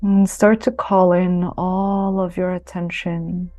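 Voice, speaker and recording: A woman guides a meditation with a soothing, very slow delivery and long pauses. Her low-set voice stays soft-toned and mellow, with little melodic movement, over gentle music. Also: little pitch movement, no room sound. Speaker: yoga nidra guide.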